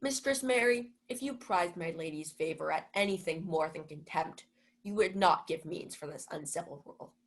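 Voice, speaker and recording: A woman's voice: lowish voice, moderate pace, natural voice. A young woman playing a pompous, indignant male household steward in a Shakespeare radio play. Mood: indignant scolding. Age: young adult. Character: Malvolio, haughty scolding male household steward.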